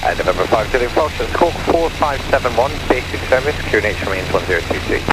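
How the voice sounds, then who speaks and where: A man's voice: mid-range voice, expressive, fast talker. A man working as an air traffic controller, giving clipped procedural instructions over aviation radio. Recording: radio transmission under loud engine noise. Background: aircraft engine.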